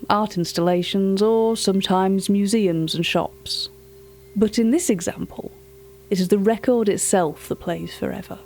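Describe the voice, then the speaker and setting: A woman playing a polite, curious auction curator, narrating scripted audio fiction in a measured, literary way. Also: medium pitch, dark tone, a little hiss or hum, natural voice. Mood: formal, measured. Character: curious, polite female auction catalogue curator telling eerie tales. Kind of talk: scripted first-person narration.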